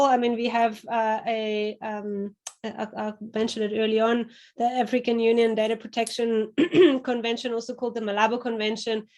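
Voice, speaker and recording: A woman's voice: a little high, a little mellow. A woman with a German accent, speaking as a panelist and thinking aloud with many fillers and restarts. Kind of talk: panel discussion.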